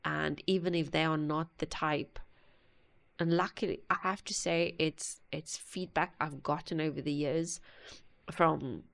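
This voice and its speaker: A woman hosting a music reaction video, talking earnestly and haltingly with many pauses. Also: low voice, steady tone, gravelly. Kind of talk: casual reaction commentary.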